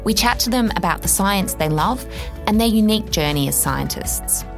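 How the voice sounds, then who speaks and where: A woman's voice: medium pitch, animated, normal speed, vocal fry, soft background music. A woman hosting an interview podcast, introducing the show and asking questions with brief, friendly interjections.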